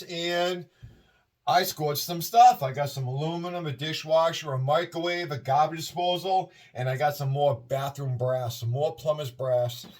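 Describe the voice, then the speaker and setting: A middle-aged man with a Massachusetts accent, chatting in a rambling, upbeat way while taking apart scrap in a shed at night. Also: a little high. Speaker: scrapping video host. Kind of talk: casual hands-on narration. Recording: distant mic, faint noise.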